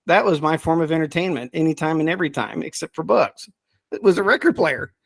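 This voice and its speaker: A middle-aged man chatting casually as a podcast's subject expert, thinking aloud in a halting way with repeated words. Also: a little high, gravelly, no echo. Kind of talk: casual chat.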